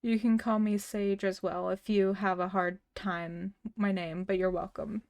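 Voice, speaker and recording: A woman's voice: medium pitch, flat delivery, measured pace, darkish tone, dry recording. A woman streamer in casual chat with her viewers, stuttering often and restarting words, laughing now and then. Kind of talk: casual livestream chat, reading chat messages and a story aloud.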